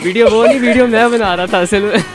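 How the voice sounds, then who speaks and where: A man's voice: high voice, quick pace. A man in his twenties chatting casually as a prank video host, close on a microphone. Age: 20s. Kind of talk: casual chat. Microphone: close studio microphone. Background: faint music.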